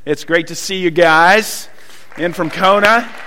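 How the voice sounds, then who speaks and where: A man with a Southern US accent preaching a sermon, telling folksy stories with many pauses and fillers. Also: high voice, pushed and bright, big-room sound, slight background noise. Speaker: pastor. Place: church hall.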